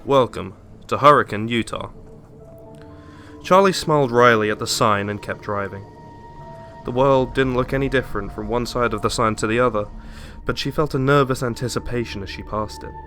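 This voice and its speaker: A man giving a dramatic, deliberate audiobook narration of a suspenseful horror story. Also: mid-range voice, pushed and bright. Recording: music under the voice.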